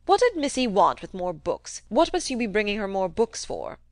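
A woman voicing a cantankerous, domineering old country man who talks in a loud, emphatic, wheedling way. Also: mid-range voice, huge pitch swings, moderate pace, dry recording, clean studio sound.

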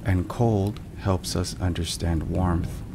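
Deep, very slow male ASMR narrator. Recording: steady rain and thunder noise. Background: rain and thunderstorm sounds.

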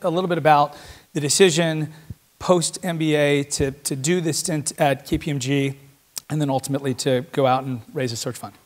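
A man's voice: on the high side, steady tone, a little fast. A man in his thirties moderating a fireside chat on a conference stage, asking polished questions with a few fillers. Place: large auditorium stage.

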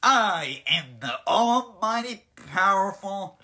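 A man voicing a boastful male guardian spirit in a comedic live fan dub, mocking and bratty, with squealing high jumps. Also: animated, takes it slowly, pushed and bright, exaggerated voice. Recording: game music underneath.

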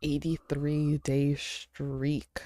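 A woman streamer chatting casually with friends while she draws, relaxed and laughing often with lots of fillers. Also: deep voice, very slow. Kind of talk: casual chat while drawing.

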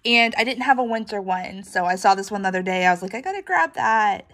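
A woman's voice: medium pitch, lively intonation, fast talker, fairly bright tone. A woman with a stuffy, congested nose, chatting in a rambling, casual way, with many "um"s and "like"s, while showing a shopping haul on her living room floor. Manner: chatty, rambling, frequent "um" and "like", laughs often. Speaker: reseller vlogger.

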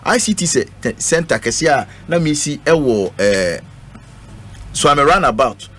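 A man's voice: fairly high pitch, expressive, a little slow, a bit bright, husky. A middle-aged man with a Ghanaian accent speaking emphatically as a guest in a radio panel discussion, repeating his phrases. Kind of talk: political panel discussion, code-switching. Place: indoor radio studio. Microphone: studio microphone on a stand. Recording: noisy live radio studio stream.

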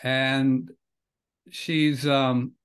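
A man's voice: medium pitch, little pitch movement, very slow, clear, resonant tone. An older man hosting a podcast over a video call from a home study, speaking conversationally with loose phrasing and hedges. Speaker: podcast host. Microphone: video call webcam.

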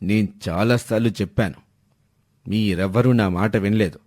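A man's voice: lowish voice, moderate pace, a little mellow, no echo, clean studio sound, natural voice. A man playing a stern, exasperated but yielding father, reproachful and grumbling, in a moral story.

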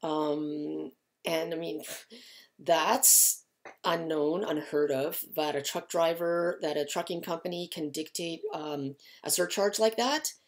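A woman's voice: deep voice, steady tone, slow pace. A middle-aged woman giving informal market commentary in a conversational, explanatory way. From Canada. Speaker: lumber market analyst and host. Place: small indoor office with shelves of files.

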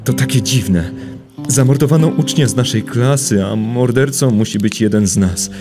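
A man's voice: mid-range voice, moderate pace, natural voice. A young man playing an earnest, anxious wolf student in a comedic anime parody dub, speaking hesitantly with stammers. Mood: awkward. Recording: music behind the voice.

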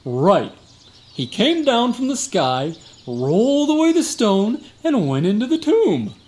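A man's voice: high voice, sing-song, takes it slowly, darkish tone, heavily acted. A man playing a boastful, evasive security guard in a comic radio drama, folksy, slangy and given to exaggeration. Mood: earnest, indignant. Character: boastful, evasive tough-guy security guard, a fibbing male cousin.

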